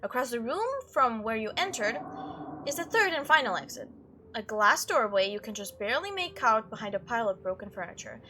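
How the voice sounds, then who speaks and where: A woman's voice: a little high, very animated, fairly quick, bright, forceful tone, a little hiss or hum. A woman doing a let's play, reading game text aloud and reacting in a chatty, playful way. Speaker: video game streamer doing a playthrough.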